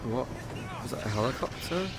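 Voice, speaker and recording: Medium-pitched man giving casual running commentary. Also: lively intonation, a little fast, vocal fry, heavy background noise. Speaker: reaction video host.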